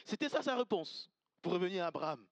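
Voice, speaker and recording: Pastor, high-pitched male voice, emphatic sermon delivery, dry close sound. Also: sing-song, fast talker, dry recording. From Côte d'Ivoire. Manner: earnest, emphatic preaching with repetitions to the congregation. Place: church service.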